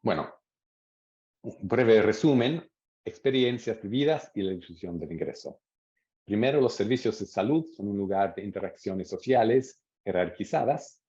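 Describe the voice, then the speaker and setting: A man presenting research at an academic seminar, reading out quotes from interviews in a measured way. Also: fairly low pitch, lively intonation, mellow. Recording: dull lo-fi audio.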